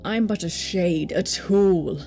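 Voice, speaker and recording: Radio drama android killer played by a woman: mellow, slow-spoken, grave and guarded, music underneath. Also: fairly low pitch. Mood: bitter, resigned. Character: defeated female android assassin, bitter and guarded.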